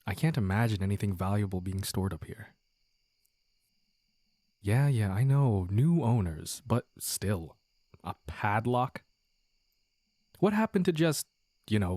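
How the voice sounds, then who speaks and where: A man playing a playful, teasing young man in an intimate romantic audio roleplay, with halting banter and mock-dramatic asides. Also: on the low side, mellow, no room sound. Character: playful, teasing young man sneaking out with his childhood sweetheart.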